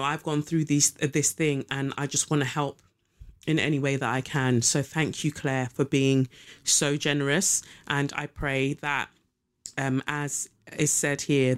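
A woman chatting through her podcast in an easygoing, meandering way. She drifts between thoughts, swears casually and fills pauses with ums. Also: very deep voice, smooth. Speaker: podcast host. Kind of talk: casual spiritual podcast chat.

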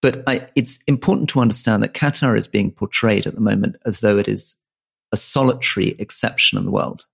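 A man who grew up in Malaysia co-hosting a casual political podcast, earnest and halting, often restarting and repeating words. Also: lowish voice, fairly even intonation, gravelly, breathy edge. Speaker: podcast co-host.

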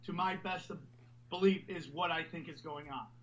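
Man guest, high voice, loud and rambling, swears a lot, dull lo-fi recording. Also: moderate pace. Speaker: podcast host and comedian guest. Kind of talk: animated interview talk.

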